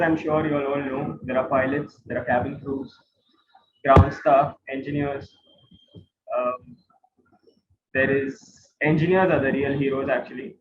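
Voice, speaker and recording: A man's voice: medium pitch, background noise. Echoey, phone-like Indian man teaching. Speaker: instructor, guide. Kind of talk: informal classroom lecture with questions to students. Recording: roomy, tinny phone-like online class audio.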